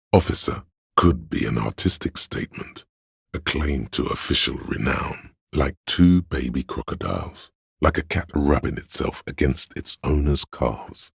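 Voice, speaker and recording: A man voicing a detective's pretentious, arty inner voice for a video game, theatrical and deliberate, with a gravelly, husky tone. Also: a bass voice, a bit bright, no room sound, studio quality, heavily acted. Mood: pretentious, intrigued. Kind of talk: video game narration, second-person commentary.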